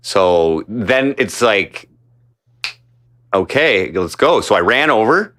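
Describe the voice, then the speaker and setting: A man's voice: lowish voice, big pitch swings, takes it slowly, a bit bright. A man telling a long story in casual podcast chat, rambling, swearing a lot and restarting his sentences. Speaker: streamer guest.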